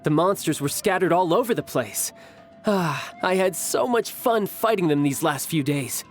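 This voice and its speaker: A man's voice: a little high, animated, moderate pace, soft background music, natural voice. A man voicing a boastful young male fighter in a game who loves combat, talking in a breezy, teasing way. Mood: cocky. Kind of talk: video game cutscene dialogue.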